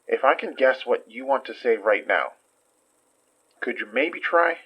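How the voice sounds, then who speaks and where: Persistent investigator in horror podcast, coaxing and reassuring, slightly dull muffled audio. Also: male voice, medium pitch, animated, unhurried, slightly rough. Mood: earnest, reassuring. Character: earnest, persistent investigator gently questioning a witness. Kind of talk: audio horror drama acting.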